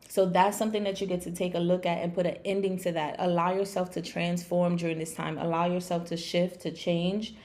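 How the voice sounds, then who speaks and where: A raspy-voiced woman with a slight cold, giving a casual, conversational tarot and astrology reading to viewers, with playful asides. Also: on the low side, a little mellow. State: getting over sneezing and coughing. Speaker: online tarot and astrology reader.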